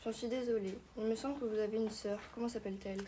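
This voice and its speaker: A woman interviewer reading out her questions quickly. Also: a little high, fairly even intonation, dark tone, slight background noise.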